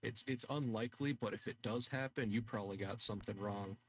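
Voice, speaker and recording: A man playing a tabletop game, chatting casually with a flippant, deadpan joking manner. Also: fairly low pitch, a little fast, no room sound.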